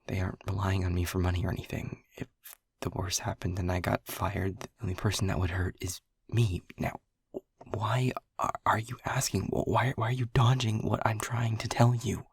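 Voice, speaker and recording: A man playing an anxious, protective young lab technician who stammers and keeps his voice to a hushed whisper. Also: on the low side, flat delivery, moderate pace, gravelly, husky, no echo, studio quality, heavily acted. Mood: urgent whisper. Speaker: audio roleplay voice actor. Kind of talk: audio roleplay acting.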